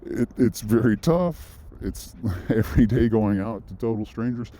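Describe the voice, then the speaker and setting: A man's voice: mid-range voice, animated, measured pace, mellow. A man vlogging, telling a personal story in a loose, rambling way, full of restarts and side notes. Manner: rambling, with frequent restarts and asides. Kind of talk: casual storytelling monologue.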